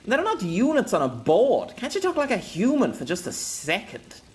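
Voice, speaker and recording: A man voicing a blunt, loyal male bodyguard who talks in rough, casual speech. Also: high voice, wildly swooping pitch, normal speed, pitched above the performer's own voice. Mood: angry.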